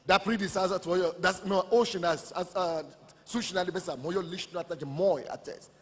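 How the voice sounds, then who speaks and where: A man's voice: high-pitched, normal speed. A male pastor preaching a sermon with impassioned, emphatic declarations. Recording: long church hall reverb with music.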